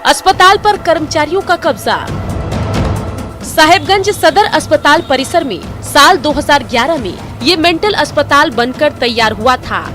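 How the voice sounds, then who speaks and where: A woman news narrator with an Indian accent reads scripted reports in a high voice. Clear music plays underneath her voice-over. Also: expressive, moderate pace.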